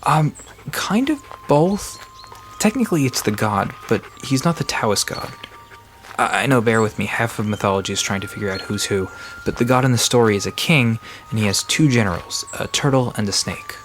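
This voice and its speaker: A man's voice: on the low side, lively intonation, normal speed, fairly bright tone, faint music behind. A man playing a friendly, nerdy guide to mythical creatures in an audio drama, explaining lore eagerly with hesitant asides. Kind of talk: conversational audio drama dialogue.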